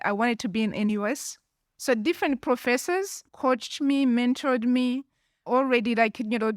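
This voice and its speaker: A woman's voice: fairly high pitch, dry recording, studio quality. A woman from Rwanda answering interview questions in an earnest, conversational way with frequent restarts, recorded live at a conference. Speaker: guest, founder of a women's investment firm.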